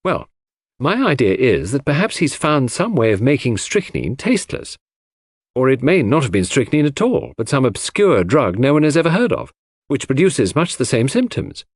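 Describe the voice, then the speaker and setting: Man acting an earnest, cautious gentleman, animated and husky. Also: medium pitch, normal speed, dry recording, studio quality, voice pushed up. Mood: speculative. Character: earnest, cautious gentleman sleuth, hesitantly theorizing.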